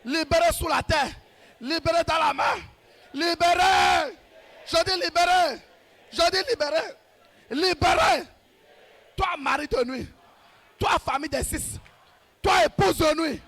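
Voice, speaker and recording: A man leads a deliverance prayer at full shout, his voice pitched very high and slightly gravelly. He speaks slowly, punching out short, rhythmic commands one after another. A faint crowd murmurs behind him. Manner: shouts short repeated declarations. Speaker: prayer leader.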